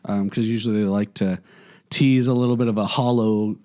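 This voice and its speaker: A man co-hosting a podcast in casual chat, his voice hoarse and raspy from being worn out. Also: lowish voice, steady tone, fairly quick, dark tone. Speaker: podcast co-host.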